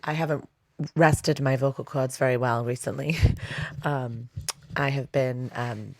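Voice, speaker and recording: A woman in her thirties hosting her own podcast in a casual, confiding chat. Her voice is husky and a little hoarse as it recovers from being lost, and she talks with plenty of fillers. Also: very deep voice, fairly even intonation, a little slow, no room sound. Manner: chatty and confiding, many fillers. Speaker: podcast host. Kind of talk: casual solo podcast talk.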